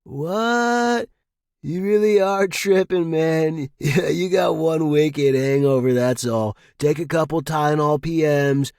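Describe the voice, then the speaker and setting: A man voicing a brash young party buddy in audio fiction, loud and slangy, teasing and egging a friend on. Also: on the high side, clean tone, no room sound, clean studio sound, pitched above the performer's own voice. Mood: amused. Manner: shouting over crowds, slangy, drawn-out words. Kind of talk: audio fiction character acting.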